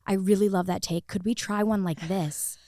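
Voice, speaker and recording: A woman's voice: medium pitch, fairly even intonation, moderate pace, mellow, dry recording, studio quality. A woman talking conversationally as an interview guest, telling stories with frequent "like" and acted-out quotes. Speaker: podcast guest, actor turned director.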